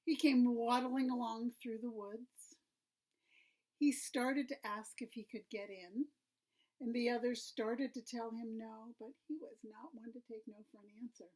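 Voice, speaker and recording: A woman reading a children's story aloud, expressive and often dropping to a hushed, breathy tone. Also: fairly high pitch, unhurried, poor audio.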